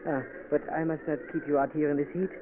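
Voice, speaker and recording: Man doing a smooth courtly minister, higher voice, hissy tinny old radio sound. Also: level tone, fast, natural voice. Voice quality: smooth, courtly, French phrases. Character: suave scheming male Haitian health minister. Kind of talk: 1940s radio acting.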